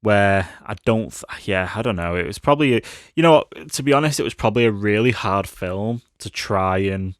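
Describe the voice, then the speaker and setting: A man's voice: on the low side, animated, moderate pace, hardly any pauses, no room sound, clean studio sound. A British man co-hosting a podcast, chatting casually and informally about films. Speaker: podcast co-host. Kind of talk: casual film review chat.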